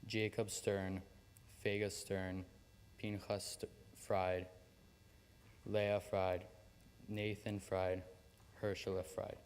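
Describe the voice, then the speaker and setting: Low, flat-voiced young man reciting names. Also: slow, pauses often, slight background noise. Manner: steady, even recitation. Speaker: student reader. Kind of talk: solemn reading of names. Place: large hall. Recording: long hall reverb with faint ambience.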